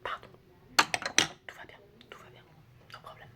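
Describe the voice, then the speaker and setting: A woman doing an ASMR roleplay in a breathy whisper mixed with soft speech, teasing and chatty as she addresses the listener like a close friend. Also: normal speed. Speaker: ASMR creator role-playing as a doctor and best friend. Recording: clear background noise with clicking sounds.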